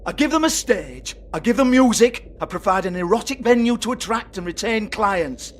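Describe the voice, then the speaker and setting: A man's voice: sits high, animated, moderate pace. A man playing a foul-mouthed, irritable British strip club owner in a video game, sarcastic and exasperated as he swears. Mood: defensive, sarcastic.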